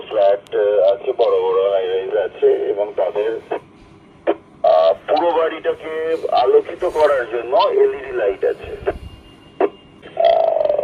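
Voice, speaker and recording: A man with a Bengali Indian accent chatting casually over a two-way amateur radio link as a fellow operator. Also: medium pitch. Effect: two-way VHF radio transmission. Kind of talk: casual ham radio conversation. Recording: tinny, noisy VHF repeater radio link.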